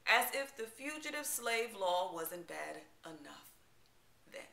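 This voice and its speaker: A woman giving a dramatic spoken-word poetry recital, theatrical and emphatic, with deliberate pauses. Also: medium pitch, very animated, gravelly, bright, forceful tone. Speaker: poetry reader. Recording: roomy, echoing live-room sound.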